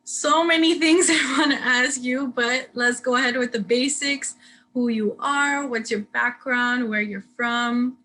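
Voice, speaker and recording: A woman's voice: high-pitched, unhurried, bright, forceful tone. A woman hosting an interview show, talking directly and upbeat to her viewers. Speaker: interview host.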